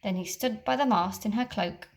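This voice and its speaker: A woman teacher reading a children's storybook aloud, with expressive, dramatic storytelling. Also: mid-range voice, moderate pace.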